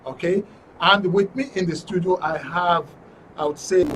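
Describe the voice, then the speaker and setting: Higher-voiced Nigerian man hosting a studio show. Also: moderate pace. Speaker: radio and TV programme host. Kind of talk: live studio panel introduction. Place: radio and TV studio. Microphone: studio microphone. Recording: faint noise, distant studio mic.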